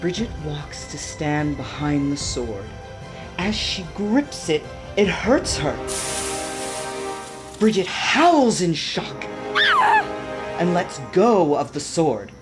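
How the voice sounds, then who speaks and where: A woman's voice: deep voice, sing-song, slow, long pauses. A woman narrating a radio play from a script, setting out each scene as storyteller. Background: music.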